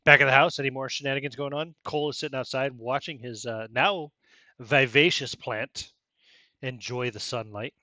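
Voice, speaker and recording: A bearded middle-aged man in a small home room, narrating a tabletop game as game master on a headset mic with a chatty, relaxed manner. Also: mid-range voice, normal speed, no room sound. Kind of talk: narrating a tabletop game over a video call.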